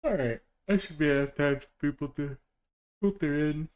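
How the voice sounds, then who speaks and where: A man's voice: fairly high pitch, moderate pace, a little mellow. Chatty man streamer greeting his chat. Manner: chatty, rambling, with many fillers and restarts. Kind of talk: casual chat with a live stream chat.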